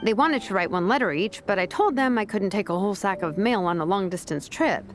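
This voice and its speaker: An actress playing a confident vice captain and teacher in a video game, speaking casually, diplomatically and persuasively. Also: female voice, mid-range voice, animated, fairly quick, faint music behind, close to an everyday voice. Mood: casual, assured.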